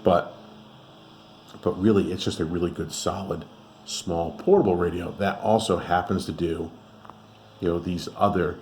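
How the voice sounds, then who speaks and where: A man with a low, deep voice talks through a product review in a casual, unscripted way. He rambles conversationally, thinking aloud as he goes. There is faint background noise in the recording. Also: fairly even intonation, moderate pace, long pauses, darkish tone. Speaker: radio reviewer and channel host.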